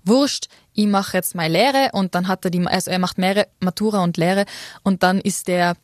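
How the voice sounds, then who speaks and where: A woman's voice: on the low side, moderate pace, no echo. Young Austrian woman guest, casual and talkative, English words creeping in, dry recording. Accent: Austrian German. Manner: chatty, laughs often, mixes in English words. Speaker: interview guest, content creator. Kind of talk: casual interview chat.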